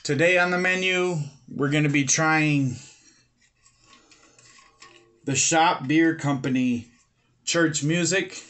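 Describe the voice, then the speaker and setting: Slow-talking man reviewing beer at home. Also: on the high side. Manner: relaxed, many fillers and pauses. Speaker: beer reviewer, channel host. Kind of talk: casual video review. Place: home room with bookshelves, indoors.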